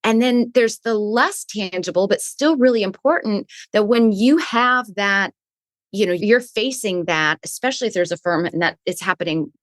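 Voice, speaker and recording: A woman's voice: medium pitch, normal speed, no echo. A woman speaking as a guest in an interview, a resilience coach who explains her points thoughtfully and often restarts her phrases. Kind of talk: conversational interview answers.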